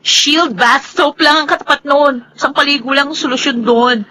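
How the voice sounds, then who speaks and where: A Filipino actress playing a defiant, scheming elder sister in an emotional radio drama. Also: female voice, high voice, moderate pace, bright, forceful tone, a little hiss or hum, low-quality recording. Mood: dismissive. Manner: emotive, argumentative, Tagalog-English code-switching.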